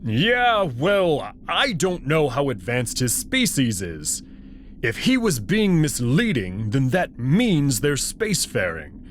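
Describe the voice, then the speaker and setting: A man's voice: medium pitch, huge pitch swings, slow, a little hiss or hum. A man voicing an anxious, regretful male alien wolf in a visual novel reading. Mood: worried.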